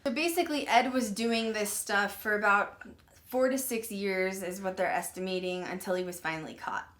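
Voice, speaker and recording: A woman hosting a paranormal podcast, retelling a grim case in casual, rambling talk full of "like" and "um", often clearing her throat as she says she is losing her voice. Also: mid-range voice, normal speed, a bit bright. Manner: rambling, filler-heavy, with many "like" and "um"; uneasy and apologetic about the grim subject. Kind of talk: casual true-crime retelling to viewers.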